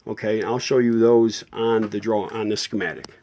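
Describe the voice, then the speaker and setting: A man hosting an informal repair tutorial, explaining technical details off the cuff in a halting way and often correcting himself. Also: lowish voice, steady tone, dark tone. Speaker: host of a vintage radio repair tutorial. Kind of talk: unscripted hands-on technical explanation.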